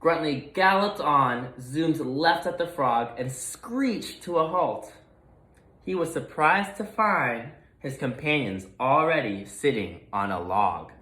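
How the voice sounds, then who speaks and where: A man's voice: fairly high pitch, lively intonation, slow, pushed and bright. A man narrating a children's picture book expressively as a read-aloud storyteller, in a roomy recording.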